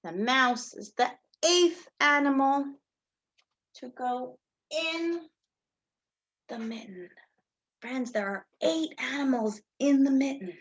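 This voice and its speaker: A woman's voice: high voice, slow pace, long pauses, muffled recording. A woman reading a picture book aloud to young children like a teacher, expressively, with questions and asides to her listeners. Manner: expressive, addresses children as friends, asks questions, gasps for effect.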